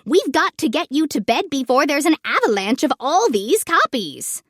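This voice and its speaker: A woman's voice: high voice, big pitch swings, moderate pace, dry recording, clean studio sound, voice pushed up. A woman voicing a caring, take-charge friend in a children's storybook, speaking emphatically. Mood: urgent. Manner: emphatic, exclaiming.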